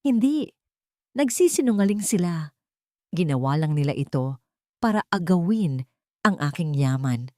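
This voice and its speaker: Woman acting scheming wealthy wife, desperate, dramatic pleading, raised pitch, audio story, dry recording. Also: big pitch swings, unhurried, long pauses, darkish tone, no echo. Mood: desperate, defiant.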